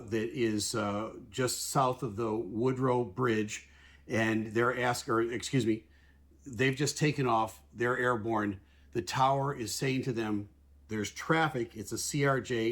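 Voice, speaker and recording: A man giving explanatory commentary as an aviation analyst, talking in a measured, plain-spoken way and putting radio calls into everyday words. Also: mid-range voice. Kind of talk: explanatory commentary over air traffic recordings.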